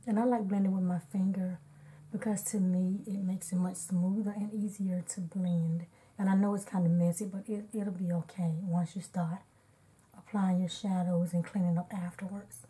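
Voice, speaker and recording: A woman with a slightly hoarse, congested voice, chatting casually as a beauty vlogger and narrating each step of an unedited makeup tutorial. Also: lowish voice, level tone, moderate pace, warm tone, rough recording.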